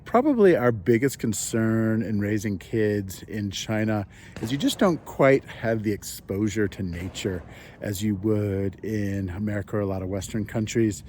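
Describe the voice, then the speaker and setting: A man's voice: fairly low pitch, expressive, moderate pace, darkish tone, a little hiss or hum. A man, a father, talking in an easygoing way to the camera from his apartment. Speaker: parent interviewee. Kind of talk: casual talk to camera. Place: apartment in a city.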